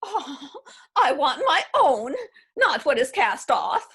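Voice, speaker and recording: A woman reading the part of a heartbroken aging woman still mourning a lost love, in a halting, wistful way, for a readers theatre play. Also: high voice, very animated, a bit bright, heavily acted. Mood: grieving.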